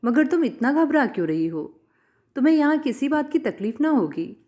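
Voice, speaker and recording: A woman's voice: sits high, sing-song, normal speed, darkish tone. A woman voicing a stern, menacing male cleric in a story, preachy and commanding. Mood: self-justifying. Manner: commanding, self-justifying, preachy.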